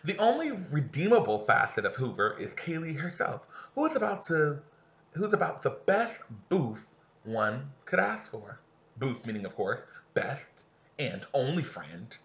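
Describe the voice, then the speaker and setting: A man's voice: medium pitch, sing-song, unhurried, fairly bright tone, lo-fi sound. A man who teaches, reading a novel aloud to his students and stopping to explain passages and ask them questions.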